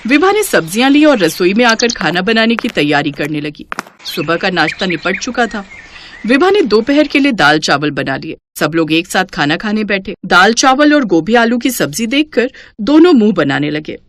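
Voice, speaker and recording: Lively woman narrating a family story. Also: mid-range voice, normal speed, no echo, clean studio sound.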